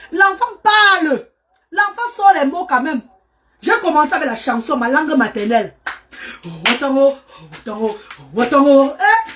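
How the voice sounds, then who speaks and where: A middle-aged woman speaks in a high, fervent voice. She pleads and repeats her points to press them home, like someone giving heartfelt testimony about her charity work. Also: a bit bright. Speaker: mother and charity worker. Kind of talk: emotional personal testimony.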